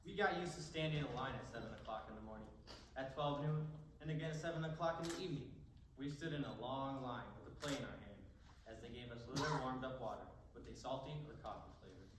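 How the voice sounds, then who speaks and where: Man as young prisoner, rapid recitation, mid pitch, echoing distant recording. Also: lightly acted. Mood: bleak. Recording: echoey, noisy, distant stage recording.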